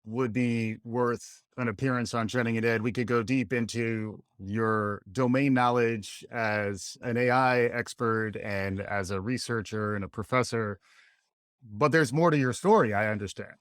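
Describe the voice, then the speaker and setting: Male podcast host, friendly, measured, mid-pitched, dry sound. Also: steady tone, no room sound. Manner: friendly, measured, affirming with short backchannels. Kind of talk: hosting an interview podcast.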